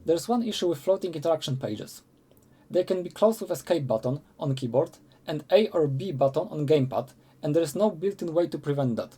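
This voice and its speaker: Male tutorial narrator, slightly high voice, very mellow tone, measured scripted delivery over background music. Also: lively intonation, husky.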